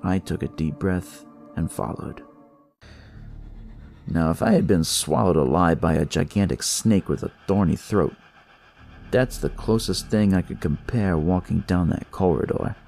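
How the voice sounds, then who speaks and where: A man's voice: low-pitched, a little slow, vocal fry, a little mellow, soft background music. A man narrating a first-person detective tale as a scripted story.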